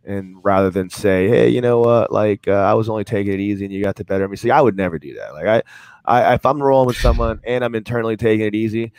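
Low-voiced man, halting then emphatic. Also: darkish tone, dry recording. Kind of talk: casual conversation.

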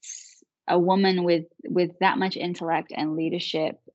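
A woman's voice: on the low side, level tone, normal speed, a little mellow, dry recording, dull audio. A woman in her thirties from England with a Manchester accent, telling her story as an interview guest and laughing easily. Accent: English, Manchester. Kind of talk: interview conversation.

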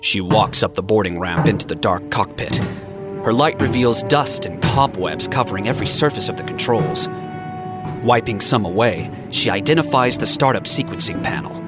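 A man's voice: fairly low pitch, expressive, moderate pace. A man giving a scripted, storytelling narration for a science fiction audio drama. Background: music.

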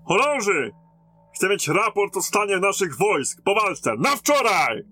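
Man acting a bright, forceful fantasy garrison lieutenant. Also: high voice, big pitch swings, faint music behind, exaggerated voice. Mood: firm, businesslike. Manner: commanding, barking orders in battle, measured when talking. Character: battle-hardened male lieutenant commanding a besieged fantasy stronghold. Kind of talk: fantasy audio drama acting.

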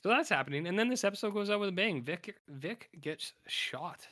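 A man co-hosting a podcast, recapping a TV show in casual chat, his voice raspy and hoarse from talking, rambling with lots of fillers and restarts. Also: sits high, animated, a little fast, bright, forceful tone. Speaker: podcast co-host.

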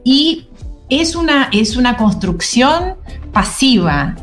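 A woman's voice: high voice, very animated, slow, soft background music. A woman teaching an English class on the radio, explaining patiently and often checking in with a questioning "¿no?".

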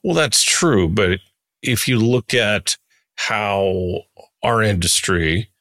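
A man's voice: on the low side, lively intonation, slow pace, a bit bright, no room sound, clean studio sound. A man in his sixties hosting a conversational interview podcast, giving his opinions with emphasis. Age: 60s.

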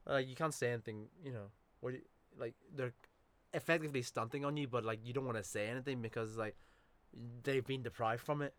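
A man's voice: medium pitch, fairly even intonation, quick pace, a bit bright. A young man from Iran in casual chat, enthusiastic and agreeable.

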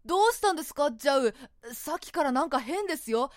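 A woman playing an eager, bookish and timid young male scholar, polite and excitable, in a theatrical radio drama. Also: high voice, fast talker, dry recording, studio quality. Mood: curious. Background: music.

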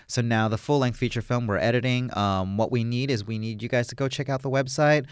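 A man's voice: medium pitch, fairly even intonation, fairly quick, clear, resonant tone, dry recording, studio quality. A man, a film director, talking through an interview in a rambling, enthusiastic way with many fillers. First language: English. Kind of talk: casual interview answers.

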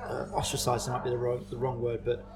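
A man's voice: medium pitch, monotone, a little fast, gravelly, over music. A man co-hosting a podcast who drops wry, crude quips. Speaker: podcast co-host. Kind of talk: casual chat.

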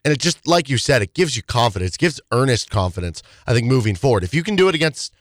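Hoarse man in casual sports chat. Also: medium pitch, sing-song, fast talker, no room sound, clean studio sound. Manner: rambling, many restarts and fillers. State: hoarse, voice partly lost. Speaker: sports talk show co-host.

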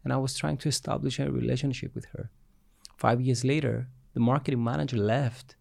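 A man's voice: medium pitch, fairly even intonation, fairly quick, vocal fry, darkish tone, no echo, clean studio sound. A man in a casual interview, asking questions and sharing his own examples. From Cyprus.

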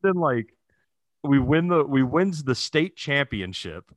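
A man's voice: medium pitch, very animated, slow, no room sound. A man in his thirties chatting casually and jokily as a podcast co-host, telling stories with loose, profane humor. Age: 30s. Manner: profane, jokey storytelling with frequent chuckling. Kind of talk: casual comedic chat.

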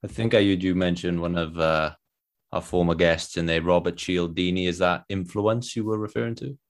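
A man's voice: sits low, steady tone, moderate pace. A man with a Welsh accent hosting a podcast interview, friendly and encouraging. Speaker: podcast host.

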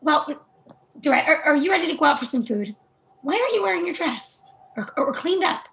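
Woman playing reproachful sister, stern, creaky, fairly high pitch, readers theatre. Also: animated, a little fast, a bit bright, exaggerated voice. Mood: disapproving. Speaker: actor in a staged play reading.

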